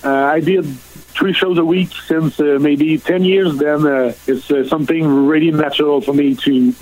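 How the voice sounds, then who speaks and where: Man, Quebec French accent, native French speaker, middle-aged, halting, lots of fillers, guest answering questions. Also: a little high, steady tone, a little mellow, poor audio. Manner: halting, many fillers and restarts. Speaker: country singer, guest. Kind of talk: interview answers.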